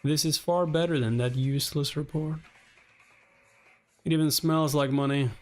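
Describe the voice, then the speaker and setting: A man's voice: fairly high pitch, steady tone, normal speed. A man giving a casual, exclamatory live reaction while reading along with a webtoon, speaking informally with some mild swearing. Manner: exclamatory, informal, mildly profane. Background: background music.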